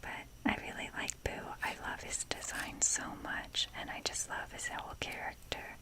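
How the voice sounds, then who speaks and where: A woman doing casual whispered ASMR, rambling along in a self-deprecating, casually sweary way. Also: moderate pace. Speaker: ASMR video creator.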